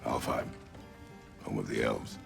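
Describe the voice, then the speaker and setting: Extremely deep, gravelly stern warrior god. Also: male voice, steady tone, a little slow, soft background music, heavily acted. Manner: terse, formal, stern. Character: stern, weary warrior god, gruff father. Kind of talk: video game cutscene acting.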